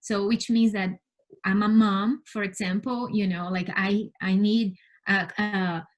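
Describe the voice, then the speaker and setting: A woman from Brazil, a native Portuguese speaker with a Brazilian accent, answering interview questions as a scientist in an earnest, halting way. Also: medium pitch, steady tone. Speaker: interview guest, space scientist and mentor.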